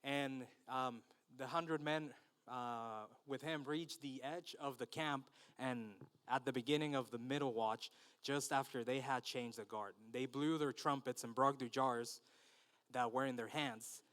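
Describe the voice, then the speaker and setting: A man's voice: medium pitch, steady tone, measured pace, a bit bright, clean tone. Nervous Spanish-accented man preaching. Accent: Mexican Spanish. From Mexico. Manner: nervous, hesitant with many fillers and restarts. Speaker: guest preacher. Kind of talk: sermon with scripture reading.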